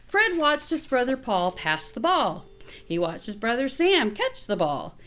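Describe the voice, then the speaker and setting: A woman's voice: on the high side, slight background noise. A woman teacher reading a picture book aloud to children, expressively and with casual asides. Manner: expressive, pausing to comment to children.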